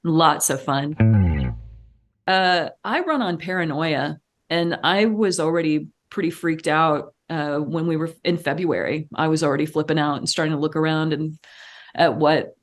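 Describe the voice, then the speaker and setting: A woman chatting as a podcast guest in an expressive, anecdotal, wry way. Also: low voice, unhurried, soft background music. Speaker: podcast guest, theater artistic director. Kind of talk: casual, anecdotal chat.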